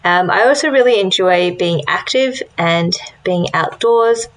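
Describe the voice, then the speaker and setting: A woman's voice: lowish voice, expressive, unhurried, poor audio. A young woman co-hosting a podcast, chatting casually in a friendly, upbeat way with frequent ums. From Australia. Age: young adult. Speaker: podcast co-host. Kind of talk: casual chat.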